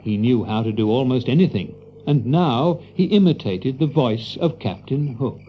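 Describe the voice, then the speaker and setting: A man's voice: medium pitch, lively intonation, moderate pace, dull audio, slight background noise. A man narrating a children's story in a dramatic storybook style. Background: music.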